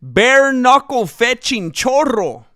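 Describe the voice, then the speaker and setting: A man's voice: extremely high pitch, very animated, takes it slowly, bright, forceful tone, dry recording, studio quality. A man chatting casually on a live stream, joking and drifting into song, who says he is losing his voice. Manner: jokey, breaks into off-key singing and ad-jingle bits.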